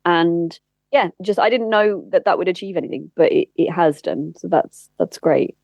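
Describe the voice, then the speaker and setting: A woman's voice: on the low side, normal speed, gravelly, no room sound, highs cut off. A woman speaking candidly and earnestly as an interview guest, explaining things in a conversational way. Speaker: interview guest, campaigner and former spokesperson.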